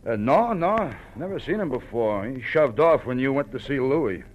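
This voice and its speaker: An American man playing a brusque, streetwise bartender, slangy and gruffly chummy, in 1940s-style radio crime drama. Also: medium pitch, animated, moderate pace, a little hiss or hum, lightly acted. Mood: placating. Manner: slangy, gruffly familiar barroom talk. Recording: dull old radio recording, faint music.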